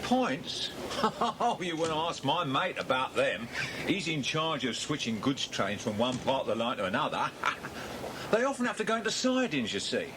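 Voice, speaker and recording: An actor playing a chatty, easygoing railway worker who talks hesitantly in a children's audiobook dramatisation. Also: male voice, medium pitch, very animated, normal speed, lightly acted. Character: Zeb, chatty slow-thinking railway worker. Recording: noisy old cassette dramatisation.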